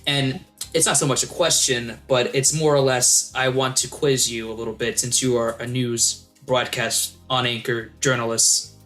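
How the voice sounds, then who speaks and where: Bright-voiced male podcast host. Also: medium pitch, little pitch movement, moderate pace, faint music behind. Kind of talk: casual interview.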